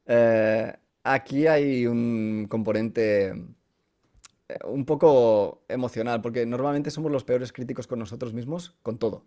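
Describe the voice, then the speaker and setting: A man with a Castilian Spanish accent and an average-pitched voice, speaking as a voice coach in a podcast interview. He explains casually, using everyday examples and stopping to check he is understood. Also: measured pace, mellow. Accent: Spanish from Spain.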